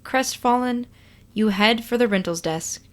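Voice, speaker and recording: A woman reading a story aloud as expressive, scripted second-person narration. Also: a little high, measured pace. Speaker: podfic reader.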